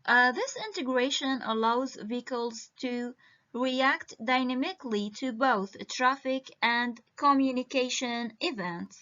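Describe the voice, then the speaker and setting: A woman from Iraq giving an academic conference presentation over a video call, halting and full of hesitations. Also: high-pitched, pushed and bright. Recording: muffled lo-fi video-call audio.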